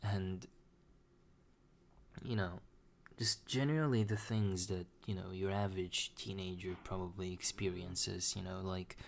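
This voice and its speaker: Low, flat-voiced young man, halting. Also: creaky, a little hiss or hum. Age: young adult. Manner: halting, hesitant, with many restarts. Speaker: vlogger. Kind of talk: personal confessional monologue.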